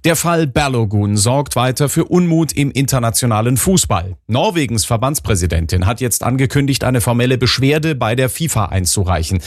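A male newsreader presents a formal scripted bulletin. His voice is medium in pitch and recorded very dry. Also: expressive, moderate pace, few pauses, no room sound. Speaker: radio newsreader.

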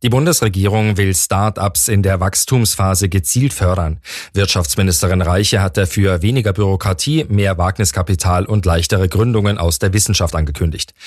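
A man reading scripted radio news as an anchor, with a husky voice. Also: low voice, darkish tone, dry recording, studio quality.

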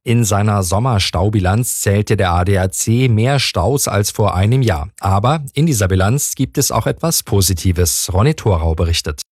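Low, dry-voiced male newsreader. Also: slightly rough, no room sound. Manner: measured, even newsreader delivery. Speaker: news anchor. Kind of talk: read news bulletin.

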